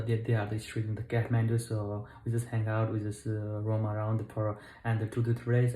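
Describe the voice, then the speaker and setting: A young man from Nepal speaks to camera in a low, mellow voice with a flat, even delivery. He stops and starts, fills gaps with "uh" and corrects himself as he goes. The sound bounces around an echoey room. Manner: halting, many fillers and self-corrections. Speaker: travel vlogger. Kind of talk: unscripted talk to camera. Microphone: camera mic.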